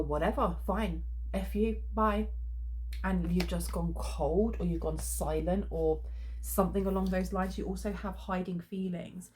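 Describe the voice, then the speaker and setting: A woman's voice: on the low side, darkish tone. A woman with a head cold, sniffly, giving a chatty tarot card reading with plenty of ums. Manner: chatty, many filler ums. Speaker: tarot reader.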